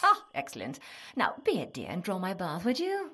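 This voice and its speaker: An actress in an audio drama playing a bossy, scornful, nagging medieval wife with an imperious manner. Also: female voice, lowish voice, huge pitch swings, moderate pace, background noise. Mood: sweetly bossy. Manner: imperious, scolding.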